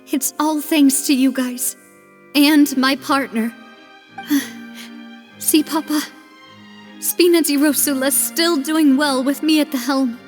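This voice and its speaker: An actress playing a tearful young gang leader in an anime game, relieved and grieving for her father. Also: sits high.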